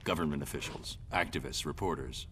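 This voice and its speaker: An actor playing a grim, controlled vigilante who leads a resistance, terse and matter-of-fact, in a video game. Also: male voice, a bass voice, fairly quick, fairly bright tone, slightly rough, heavily acted. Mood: grim, measured. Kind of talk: cinematic game dialogue. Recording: long cavernous reverb, faint ambient noise.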